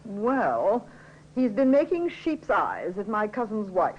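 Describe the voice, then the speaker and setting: An actress playing a suspicious, insinuating, sharp-tongued female relative in an old radio crime drama. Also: mid-range voice, big pitch swings, slow, slight background noise. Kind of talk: 1950s radio drama acting. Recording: dull old radio recording.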